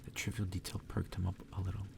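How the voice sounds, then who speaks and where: Man, hushed ASMR story reader, low flat pitch, breathy, unhurried, distant noisy recording. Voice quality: breathy, whispery. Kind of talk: ASMR whispered reading of a story. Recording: distant mic with clear background noise.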